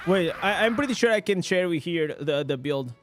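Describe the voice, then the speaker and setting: Excitable man streaming a game. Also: high voice, moderate pace, soft background music. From Portugal. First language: Portuguese. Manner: excitable, reacting loudly to loot. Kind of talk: live gameplay commentary.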